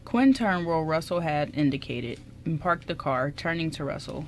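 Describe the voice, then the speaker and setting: A woman's voice: low voice, expressive, moderate pace, slight background noise. A woman reading scripted story narration as the narrator of a radio drama.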